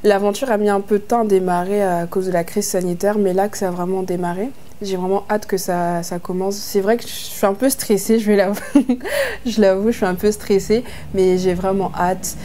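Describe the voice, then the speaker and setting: A woman from Brazzaville, soft-spoken and shy, talking to camera in a reality-show confessional. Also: medium pitch, steady tone, normal speed, a little hiss or hum. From Republic of the Congo, Brazzaville.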